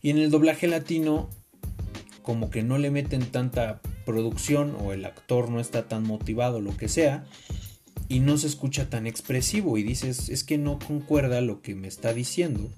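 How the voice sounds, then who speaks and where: A man with a Mexican accent talking casually in an opinionated, slangy, expressive way, as a podcast co-host. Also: medium pitch, normal speed, soft background music.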